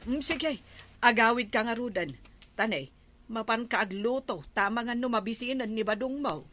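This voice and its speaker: A woman's voice: fairly high pitch, moderate pace, a bit bright. A woman playing a nosy, fretful village neighbour in an Ilocano comedy drama, speaking with an Ilocano accent. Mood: chatty, probing. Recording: clearly noisy with clicks.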